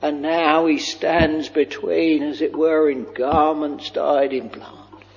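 A man preaching a slow, fervent sermon, drawing out his words and sometimes lifting into a chant-like lilt. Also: fairly high pitch, little pitch movement, gravelly. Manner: drawn-out, intoning, breaks into a chant-like lilt. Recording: dull lo-fi recording with long hall reverb.

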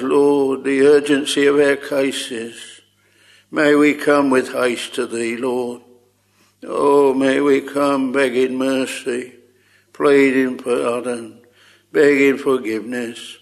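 A man's voice: medium pitch, creaky. A man leading an extempore public prayer, pleading earnestly in short repeated phrases with old-fashioned thee and thou wording. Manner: short repeated phrases, trailing soft endings.

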